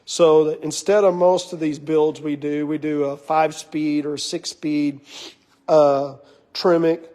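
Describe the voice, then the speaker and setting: A hoarse man with a fairly high voice chats his way through a car build in a workshop. He rambles with plenty of fillers and gets excited about the parts. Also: unhurried. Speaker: shop owner showing a car build. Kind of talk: casual shop walkaround talk.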